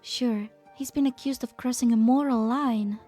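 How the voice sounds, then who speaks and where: A woman playing a gentle, idealistic female scientist in a dramatic fan dub. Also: on the high side, steady tone, moderate pace, faint music behind, close to an everyday voice. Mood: gentle, wistful.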